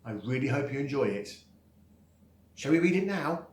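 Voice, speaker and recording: A man's voice: medium pitch, big pitch swings, moderate pace, darkish tone, breathy edge. A man reading his own rhyming children's story aloud, expressively, with dramatic emphasis and sing-song refrains. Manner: dramatic emphasis on key words.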